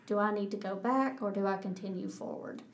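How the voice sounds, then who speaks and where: A middle-aged woman with a Texas accent, a pilot answering interview questions in a measured way, often restarting her sentences. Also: medium pitch. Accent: Texan. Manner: flat, measured, with many restarts. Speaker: pilot guest.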